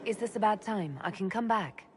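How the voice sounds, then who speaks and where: An actress playing a steady, dry-witted heroic leader in a video game, speaking directly. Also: female voice, medium pitch, fairly quick, noisy background, lightly acted. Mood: dry, wary. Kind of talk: video game dialogue acting.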